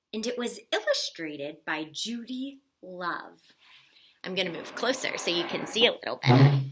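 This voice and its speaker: A woman narrating a children's storybook aloud in an expressive style. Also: mid-range voice, slightly rough. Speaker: storybook reader.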